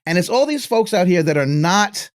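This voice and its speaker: A middle-aged man hosting an interview, musing in a relaxed, reflective way. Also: sits high, normal speed, no room sound, clean studio sound. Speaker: podcast host. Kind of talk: casual interview chat.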